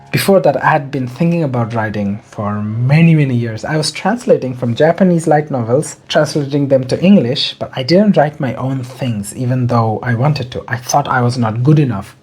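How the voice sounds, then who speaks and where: A man's voice: medium pitch, expressive, moderate pace, a little mellow, husky. A man in his twenties from Bangladesh, talking casually and rambling about writing, with self-deprecating asides. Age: 20s. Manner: rambling, self-deprecating, chatty with small laughs. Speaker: novelist vlogger. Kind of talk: casual talk to camera.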